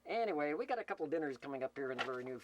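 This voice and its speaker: A man's voice: a little high, animated, rapid-fire, background noise. A man hosting a community CB radio roll call, giving folksy, improvised announcements in a joking, teasing, rambling way. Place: Slab City, California desert camp. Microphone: CB radio microphone, filmed while speaking.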